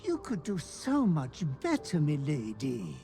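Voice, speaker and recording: Slow, swooping, fawning fiend butler. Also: male voice, a little high, huge pitch swings, dark tone, faint music behind, full character voice. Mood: sinister, gleeful. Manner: theatrical, obsequious, savoring words with drawn-out phrasing. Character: sly servile goblin-like fiend butler, gleefully murderous, fawning. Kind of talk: video game character acting.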